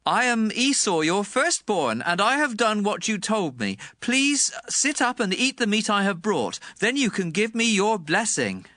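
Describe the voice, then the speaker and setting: A man voices an anxious young son in a dramatized scripture reading. His voice is high, bright and sharply expressive, and he speaks hesitantly over soft music. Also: a little slow, hardly any pauses, no echo, clean studio sound, full character voice. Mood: nervous. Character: nervous young son in a deception. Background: background music.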